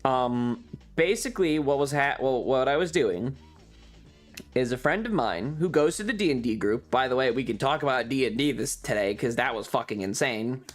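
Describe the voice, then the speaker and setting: A man's voice: mid-range voice, expressive, moderate pace, soft background music. A man chatting casually as a streamer, telling stories in an exasperated, rambling, sweary rant. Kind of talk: casual stream chat, ranting storytelling.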